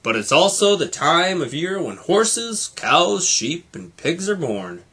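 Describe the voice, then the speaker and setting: Guy doing a talking plane radio from a kids book, helpful guide, animated, pitched a bit up, slightly husky. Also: takes it slowly, heavily acted. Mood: informative.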